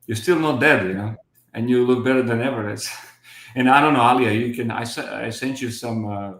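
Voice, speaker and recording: A man's voice: mid-range voice, brisk, a bit bright, reverberant. A man in his fifties from Croatia with a Croatian accent, a guest on a video call who talks in a rambling way with many restarts. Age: 50s. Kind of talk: casual livestream chat.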